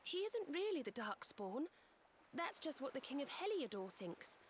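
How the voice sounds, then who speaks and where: An actress voicing a gentle, earnest, polite young woman adventurer in a video game, with a soft-hearted manner. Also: sits high, big pitch swings, moderate pace, a crowd in the background.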